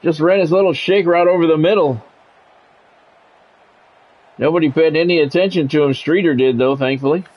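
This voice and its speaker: Higher-pitched male football play-by-play announcer. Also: moderate pace, long pauses, muffled recording. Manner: short clipped play-by-play phrases. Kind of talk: American football game commentary.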